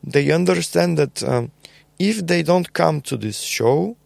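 Gravelly man with a Bulgarian accent. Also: on the high side, a little mellow, dry recording. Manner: halting, repeats words, many fillers. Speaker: podcast guest, label co-founder. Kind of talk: casual conversational interview.

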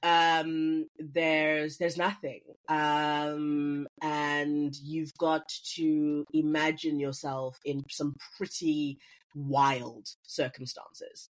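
An actor from Africa, chatting casually as an interview guest in a playful, animated way. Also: mid-range voice, very slow. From South Africa.

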